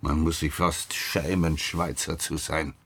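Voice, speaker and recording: A very low, rough-throated male voice plays an older ex-detective in a radio play. He is gruff and blunt, grumbling as he presses for answers. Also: level tone, measured pace, fairly bright tone, full character voice. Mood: probing, wry. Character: gruff, insistent older retired police detective, a grandfather. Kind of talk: naturalistic radio drama dialogue.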